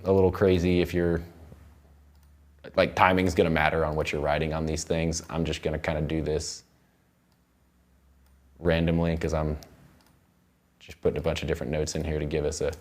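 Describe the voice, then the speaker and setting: A man's voice: a bass voice, flat delivery, normal speed, long pauses. A man teaching music production in an informal tutorial, rambling loosely and thinking aloud as he works. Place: indoor recording studio.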